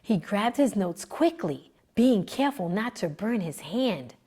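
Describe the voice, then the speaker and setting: A woman librarian reading a children's book aloud, gentle and expressive in her storytelling. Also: medium pitch, measured pace.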